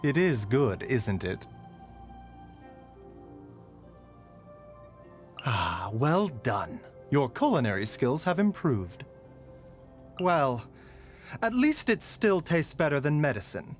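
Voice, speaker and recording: An actor playing a gentle, scholarly doctor and herbalist in a video game, composed, polite and measured. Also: male voice, medium pitch, big pitch swings. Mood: warm, calm. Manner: measured, polite, softly sighing and chuckling. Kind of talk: scripted game character voice lines. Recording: music behind the voice.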